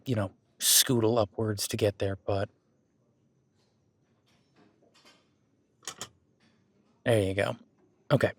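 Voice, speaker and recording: A man's voice: medium pitch, darkish tone, dry recording, lightly acted. A man playing a friendly, patient werewolf boyfriend who coaches a workout in a casual, instructive audio roleplay. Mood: encouraging. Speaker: gym training coach in roleplay.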